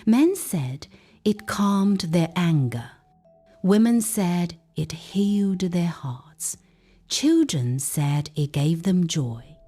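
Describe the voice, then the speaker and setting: A woman tells a folktale in a dramatic, emphatic way. Her voice is mellow and swings widely in pitch, and she takes her time over each phrase. Soft music plays behind her. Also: animated, slow pace, husky. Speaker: storyteller.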